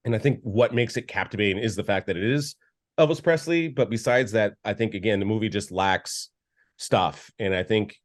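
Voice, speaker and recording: A man's voice: on the low side, moderate pace. A man co-hosting a podcast, giving a casual, rambling film review with lots of restarts and filler words. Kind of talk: casual chat.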